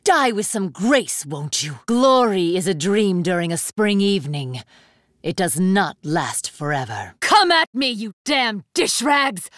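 A woman's voice: mid-range voice, very animated, measured pace, a bit bright, dry recording, clean studio sound. An actress voicing a proud, ruthless young female warrior for an anime fighting game, with a haughty, commanding, theatrical delivery. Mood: cold disdain.

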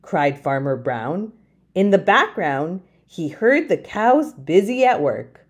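Animated, slow-paced woman reading storybooks. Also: lowish voice, slightly rough. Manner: deliberate, emphatic phrasing. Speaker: children's storytime host and reader. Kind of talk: expressive children's storybook read-aloud.

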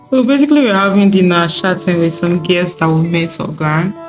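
A young woman with a Nigerian accent talks to her camera in a chatty, gushing travel vlog. Her voice sits a little low for a woman. Clear music plays under her. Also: a little fast. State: tired after a long trip. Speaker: travel vlogger. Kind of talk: casual vlog talk to camera.

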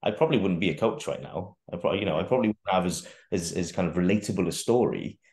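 A man's voice: sits low, fast, a little mellow. A young adult man, a guest fitness coach, talking casually on a video call, rambling with restarts and the odd swear word. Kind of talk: casual interview chat. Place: bare room at home, indoors. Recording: echoey room.

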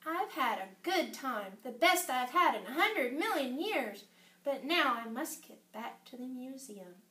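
A woman's voice: high-pitched, very animated, unhurried, pushed and bright, heavily acted. A woman voicing a friendly, polite, eager male dinosaur in a children's storybook. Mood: gentle farewell. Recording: echoey room, far from the mic.

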